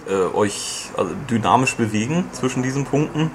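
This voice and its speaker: A man reviewing a video game in casual podcast chat, explaining at length with many fillers and pauses. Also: medium pitch, lively intonation, slight background noise.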